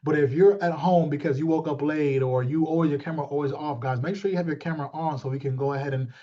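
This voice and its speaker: A man's voice: fairly high pitch, fairly even intonation, fast talker, warm tone. A man in his thirties giving an informal motivational team talk as a coach, often repeating himself and checking in with listeners. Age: 30s. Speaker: sales team leader and coach. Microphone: video call. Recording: muffled, lo-fi video call audio.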